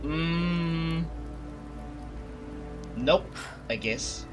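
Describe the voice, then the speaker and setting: A man's voice: a little high, drawn-out words, over music. A man giving casual, muttered reactions while playing a game. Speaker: gaming streamer reacting to a game.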